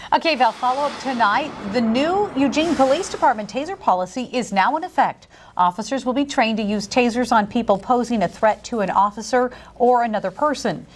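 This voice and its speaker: A woman's voice: fairly high pitch, brisk, few pauses, slight background noise. An American woman delivering scripted television news as an anchor.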